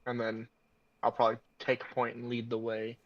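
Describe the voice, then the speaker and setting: A man plays an online tabletop game in a flat, barely varying tone. His casual talk comes through a dull, dry microphone. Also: mid-range voice, moderate pace, dry recording. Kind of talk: casual chat.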